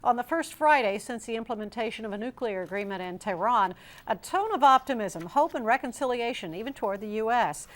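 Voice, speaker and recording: A woman with a fairly high voice reads the news as a television anchor. Her delivery is scripted and structured, in the manner of a newscast. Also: animated, fairly quick.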